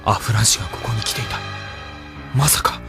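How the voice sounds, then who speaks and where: A man's voice: lowish voice, a little fast, gravelly, over music. An actor playing a serious, self-doubting male mech pilot in a Japanese sci-fi audio drama. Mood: brooding, intense.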